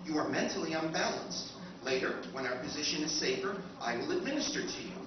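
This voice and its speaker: A man's voice: a little high, expressive, a little fast, crowd noise, natural voice. A man playing a stubborn, militaristic lifeboat computer, formal and declamatory, in a live recreation of 1950s radio science fiction. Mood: stern. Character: solemn, deluded, militaristic female lifeboat computer, motherly and stubborn. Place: convention performance room, picked up from afar. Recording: echoey convention hall, distant muffled noisy recording.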